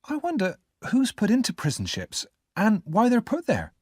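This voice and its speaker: A man playing a frightened young boy in a storybook. He sounds nervous and polite, pitched well above the narrator. The sound is close and dry. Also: wildly swooping pitch, moderate pace, darkish tone, husky, dry recording, full character voice. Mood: timid. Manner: nervous, pleading.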